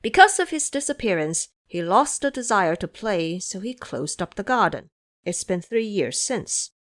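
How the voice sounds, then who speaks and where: A woman puts on a young male crown prince, haughty and quick to temper. The delivery is imperious, with animated rises and falls suited to audio drama. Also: mid-range voice, natural voice. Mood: earnest. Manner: imperious, indignant.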